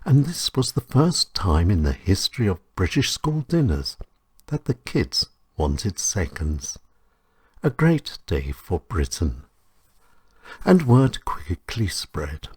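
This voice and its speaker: A man with a husky voice reading short stories in a measured, dramatic way, with short phrases and pauses. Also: deep voice, a little mellow. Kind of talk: scripted short story narration.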